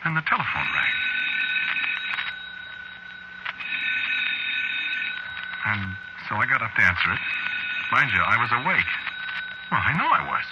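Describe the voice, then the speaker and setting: Vintage radio drama: nervous man narrating, deep and very animated, halting, conversational, agitated. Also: lo-fi sound, very noisy. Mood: uneasy. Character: rattled, jittery radio announcer recounting his nightmarish night. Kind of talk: old-time radio drama acting, first-person narration.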